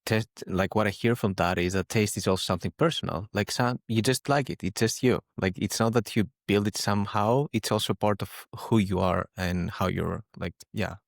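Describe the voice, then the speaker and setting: A man's voice: on the low side, moderate pace, vocal fry, few pauses, no echo, studio quality. A man from Greece hosting a podcast interview, thoughtful and often restarting his sentences. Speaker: podcast host. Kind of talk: casual interview chat.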